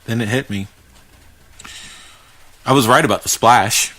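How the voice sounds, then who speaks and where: A man's voice: mid-range voice, a little slow, sharp tone. Man telling a first-person horror story. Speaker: podcast host reading a horror story. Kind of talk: first-person creepypasta narration.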